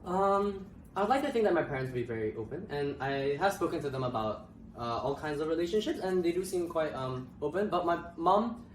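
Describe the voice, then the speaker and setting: A man's voice: on the high side, animated, fairly quick, a little hiss or hum. A young man from Malaysia talking in a casual panel discussion, hesitant and full of filler sounds. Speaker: co-host. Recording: roomy echo, mic at a distance.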